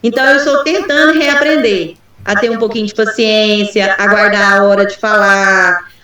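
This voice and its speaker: A woman's voice: a little high, fairly bright tone. Hesitant woman in an echoey room. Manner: hesitant, thoughtful, many fillers. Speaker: mentee teacher. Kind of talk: personal reflection in a meeting.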